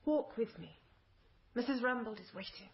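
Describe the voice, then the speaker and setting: A woman's voice: a little high, moderate pace, highs cut off, a little hiss or hum, natural voice. An actress playing a controlled, protective aristocratic mother in a period radio drama, formal and clipped. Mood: stern.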